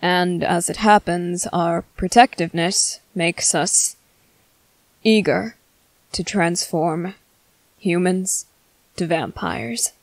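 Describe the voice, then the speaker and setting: A woman playing a courteous, restrained male vampire commander in an ASMR roleplay, speaking in formal, halting, hungry phrases. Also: fairly low pitch, fairly even intonation, vocal fry, no room sound. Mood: hesitant, yearning. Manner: formal, halting, measured, apologetic. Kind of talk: scripted ASMR roleplay monologue.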